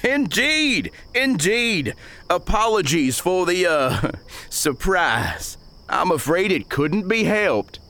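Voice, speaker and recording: Pompous old banker. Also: male voice, high voice, big pitch swings, takes it slowly, sharp tone, a little hiss or hum, pitched above the performer's own voice, full character voice. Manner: flowery, long-winded, ingratiating. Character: pompous older male frontier banker.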